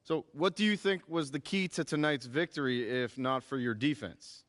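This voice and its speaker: A man's voice: a little high, animated, moderate pace, a bit bright. A man playing an insistent sports reporter at a press conference in a video game. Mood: inquiring. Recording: long echoing room reverb.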